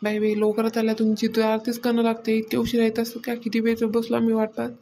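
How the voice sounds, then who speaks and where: Flat-toned bossy village wife, played by a woman. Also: a little high, fast. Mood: chiding. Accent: rural Maharashtra Marathi. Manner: plain-spoken, chiding. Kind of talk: Marathi comedy cartoon dialogue.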